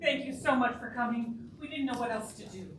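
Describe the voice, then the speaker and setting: A woman's voice: fairly high pitch, lively intonation, fairly quick, close to an everyday voice. An actress playing a worried, earnest woman in a staged musical reading, plain-spoken and concerned as she confides. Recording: echoey room, distant mic, faint noise.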